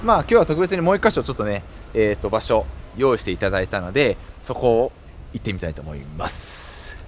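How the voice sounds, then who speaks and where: Man vlogging amid clear background noise. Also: on the low side, sing-song, brisk. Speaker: cycling YouTuber, host. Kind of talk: casual vlog presenting to camera. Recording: clear outdoor ambient and vehicle noise.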